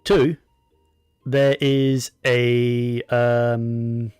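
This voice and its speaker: A man's voice: medium pitch, little pitch movement, extremely slow, darkish tone, clean tone, dry recording, faint music behind. A man giving casual gameplay commentary, rambling and opinionated, often talking to himself as he plays. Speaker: gaming streamer.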